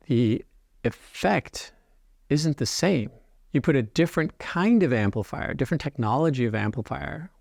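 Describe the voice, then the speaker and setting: Male technical explainer, mid-pitched, measured and deliberate with pauses, close dry recording. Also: vocal fry, a little mellow, no echo. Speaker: loudspeaker company founder and designer. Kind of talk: explanatory product talk to camera.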